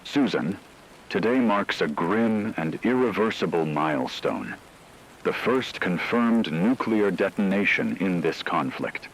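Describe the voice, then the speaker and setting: A man playing a grave wartime radio news anchor, reading a scripted emergency broadcast in a solemn, measured, formal way. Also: sits low, a little hiss or hum, rough recording.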